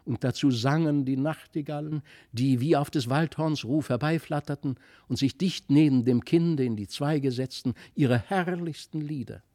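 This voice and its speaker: A man narrating a fairy tale as an expressive audiobook reading. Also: a little high, moderate pace, few pauses, no room sound, studio quality. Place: dry studio.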